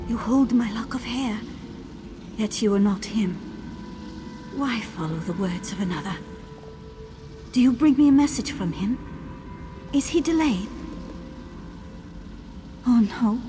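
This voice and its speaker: Forlorn lovelorn woman, highish voice. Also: lively intonation, measured pace, pauses often, background noise. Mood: sorrowful regret. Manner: plaintive, hushed, dramatic. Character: forlorn, lovelorn woman waiting for her beloved. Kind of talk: video game character acting.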